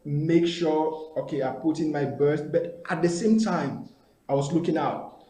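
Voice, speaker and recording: Halting man on an echoey video call. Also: a little high, dark tone. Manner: hesitant, many repeated words and fillers. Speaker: guest, company founder. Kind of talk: casual interview answers. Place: indoor room, video call.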